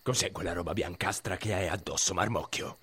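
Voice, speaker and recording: A man's voice: deep voice, a little fast, fairly bright tone, breathy edge. A man playing a sly robber, mock-caring and menacing, in an Italian radio play. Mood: quiet menace. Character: sly, mock-sweet male robber and bully. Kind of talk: Italian radio theatre acting.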